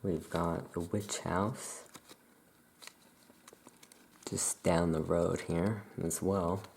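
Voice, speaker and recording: Slow, low, creaky soft-spoken ASMR voice. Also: a little hiss or hum. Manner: soft, unhurried, calm, with long pauses. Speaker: ASMR host playing a Salem witch shopkeeper.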